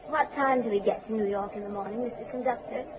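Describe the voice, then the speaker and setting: Sweet elderly lady played by a woman, highish voice, genteel and chatty, 1940s radio drama, dull recording with hiss and bustle. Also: fast talker, lightly acted. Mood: polite, hopeful. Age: older. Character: sweet, chatty little old lady train passenger.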